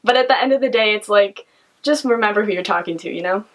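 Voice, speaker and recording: A teenage girl, a high school senior, talking casually in a documentary interview. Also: quick pace. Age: teens.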